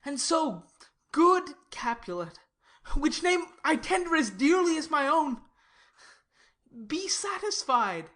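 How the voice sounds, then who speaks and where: A woman's voice: sits high, sing-song, slow pace, pauses often, a bit bright, exaggerated voice. A woman playing a lovestruck, conciliatory young nobleman, speaking earnest, placating verse in a Shakespeare audiobook. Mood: calm, placating. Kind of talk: Shakespeare audiobook dramatic reading.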